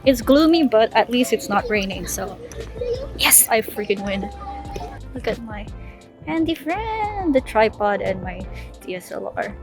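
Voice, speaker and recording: A woman's voice: fairly high pitch, big pitch swings, unhurried. A Filipino woman in her twenties, a native Filipino speaker, chatting casually to camera in a vlog and laughing often. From Philippines. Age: 20s. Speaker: vlogger. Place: outdoors and in a city on a day trip. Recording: distant mic, loud background music.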